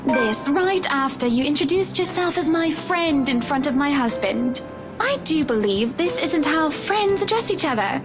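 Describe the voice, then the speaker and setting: High-voiced, genteel, playful lady. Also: animated, moderate pace. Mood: playful, teasing. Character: playful, teasing, genteel married woman named Hannah. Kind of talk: visual novel game dialogue. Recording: music behind the voice.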